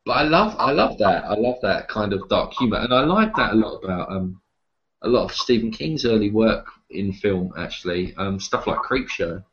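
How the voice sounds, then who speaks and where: A man chatting casually as an interview guest about what he likes, halting and repeating words as he goes. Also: fairly low pitch, lively intonation, fairly bright tone, lo-fi sound. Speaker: interview guest, horror writer and publisher. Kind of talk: casual conversation about horror films.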